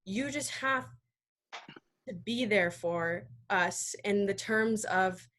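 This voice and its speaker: An American woman speaking earnestly and emphatically as a panelist in an impassioned discussion over a video call, halting now and then. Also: mid-range voice, fairly bright tone.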